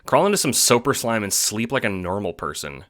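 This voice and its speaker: A man's voice: on the low side, sing-song, brisk, background noise, pitched above the performer's own voice. A man voicing a mocking, bossy alien troll teenager in an audio drama, with a sneering, exasperated, theatrical delivery.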